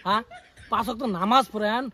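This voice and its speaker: A man's voice: high-pitched, fairly even intonation, fast, sharp tone. A man playing an exasperated village pond owner in an amateur comedy skit, scolding and aggrieved, with a northern Bengali regional accent. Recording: clearly noisy amateur video sound.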